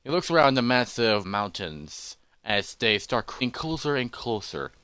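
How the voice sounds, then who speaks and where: A man telling a fantasy story as its narrator, in halting, deliberate phrases with dramatic pauses. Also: medium pitch, sing-song, bright, forceful tone, smooth, no room sound.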